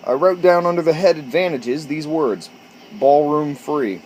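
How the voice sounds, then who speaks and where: A man's voice: a little high, measured pace, darkish tone, highs cut off, a little hiss or hum. A man chatting casually and reading aloud on an outdoor porch, informal and sometimes stumbling over words and correcting himself. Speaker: amateur storyteller and video host.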